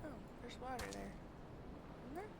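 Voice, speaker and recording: A woman's voice: on the high side, lively intonation, moderate pace, gravelly, heavy background noise. A woman streaming a game, thinking aloud in short, casual muttered fragments.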